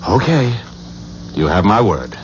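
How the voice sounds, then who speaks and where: An American actor playing a blunt, loyal and skeptical best friend, emphatic and incredulous, in 1970s radio drama style. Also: male voice, sits low, very animated, a little slow. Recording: muffled, noisy 1970s radio drama recording.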